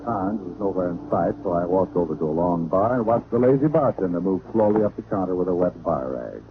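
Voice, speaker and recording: An actor playing a charming, wisecracking hardboiled detective with an American accent, acting and narrating in an old radio drama. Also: male voice, deep voice, lively intonation, fairly quick, phone-quality sound. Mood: wry narration. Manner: glib, breezy wisecracks. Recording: thin, noisy vintage radio recording.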